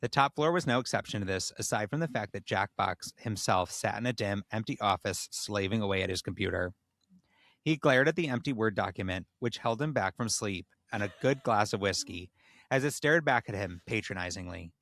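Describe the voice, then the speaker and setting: Bright, low-voiced man reading aloud. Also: animated, brisk, dry recording. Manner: mock-solemn when reading prose. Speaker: podcast host reading a story aloud. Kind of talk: comedic dramatic reading with casual banter.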